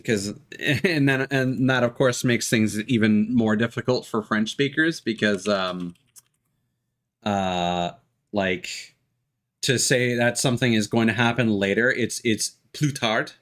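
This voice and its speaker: A man's voice: lowish voice, slow, a bit bright. A man chatting casually as he streams a game, often hesitating and trailing off mid-thought. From Canada. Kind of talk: casual livestream chat with game text read aloud.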